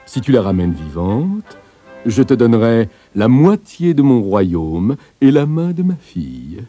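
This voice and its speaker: A man voicing a scheming, fearful king in a storybook reading, talking slowly and slyly. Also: mid-range voice, very animated, dark tone, no room sound, soft background music. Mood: commanding. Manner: slow, musing, sly.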